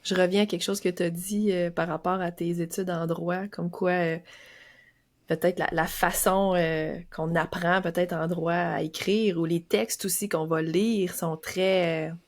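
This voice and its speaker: A woman's voice: lowish voice, fairly even intonation, measured pace. A woman podcast host with a Québécois French accent, chatting casually with hesitant fillers. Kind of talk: casual conversational interview.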